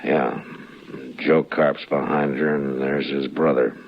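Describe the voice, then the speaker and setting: A man acting a stern, steady American frontier marshal in a radio western, speaking in terse, deliberate commands. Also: extremely low pitch, exaggerated voice. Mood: grim. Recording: muffled, noisy old radio recording.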